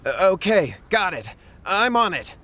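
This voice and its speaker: An actor playing an anxious, deferential young villager in a video game, earnest and stammering. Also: male voice, high-pitched, big pitch swings, measured pace, sharp tone, slight background noise, exaggerated voice. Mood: worried. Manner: stammering, earnest, eager to please.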